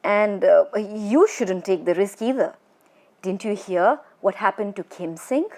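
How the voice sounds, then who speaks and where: A woman voicing a nervous, superstitious male farmer from a children's storybook, giving fearful warnings in a hushed, hesitant way. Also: medium pitch, unhurried, lightly acted.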